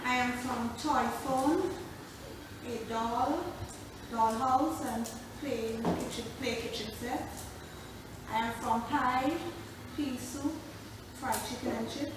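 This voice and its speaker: Slow, higher-voiced woman reading a poem. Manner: slow list reading, small self-corrections. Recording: echoey room, far from the mic, noise.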